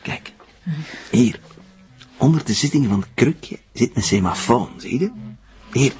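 An actor playing an obsessive, menacing Flemish captor in a television crime drama, coaxing with sing-song mock tenderness. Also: male voice, mid-range voice, lively intonation, unhurried, darkish tone, slightly rough. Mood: gloating menace.

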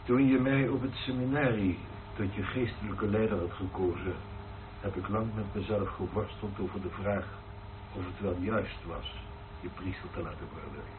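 Actor as a solemn low-voiced senior churchman, noisy recording. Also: close to an everyday voice. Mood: solemn, reflective. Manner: measured, questioning, solemn. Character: probing senior churchman, a priest's spiritual mentor. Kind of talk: Dutch radio play acting. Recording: noisy, narrow phone-like old radio recording.

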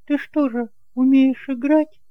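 A man's voice: very high-pitched, fairly even intonation, measured pace, clear, resonant tone, no room sound, narrow, tinny audio, pitched above the performer's own voice. A man voicing a playful, coquettish young wife in an audio drama, with a teasing, coaxing manner.

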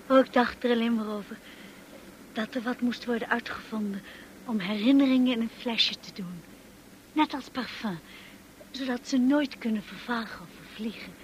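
A woman's voice: on the high side, moderate pace, a little hiss or hum, lightly acted, poor audio. An actress playing a shy, naive woman of twenty-one in a radio drama, hesitant and apologetic in her way of talking. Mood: dreamy, shy. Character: shy, naive twenty-one-year-old young woman, infatuated and nervous.

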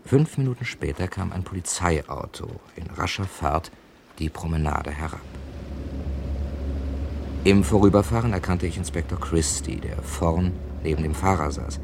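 Crime-story hero, low voice, dry and measured, vintage radio drama, faint noise behind. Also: male voice, vocal fry. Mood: dry, suspenseful narration. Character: male first-person crime narrator, wry, drawn into trouble. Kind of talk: 1950s-80s German radio crime play acting. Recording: old radio play, faint background noise.

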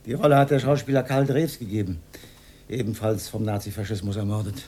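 A man's voice: lowish voice, steady tone, fairly quick, warm tone, natural voice. An actor playing a timid, pedantic old man, speaking hesitantly and formally in a radio drama. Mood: reminiscing. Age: older.

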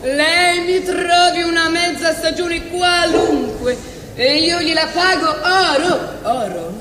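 A very high-pitched, singsong bereaved lady played by a woman in a comic stage piece. She draws out her weary platitudes at an unhurried pace. The voice rings in a big live hall. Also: bright, forceful tone, full character voice. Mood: singsong complaint. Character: bereaved woman trading weary clichés at a condolence visit. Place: stage at a live benefit evening. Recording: roomy live hall, faint noise.

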